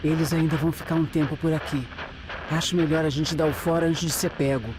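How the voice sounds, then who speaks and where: An actress playing a sharp, composed amateur detective in an audio drama, explaining things in a measured, self-assured way. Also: female voice, sits low, steady tone, noisy background, lightly acted. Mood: wary, assured.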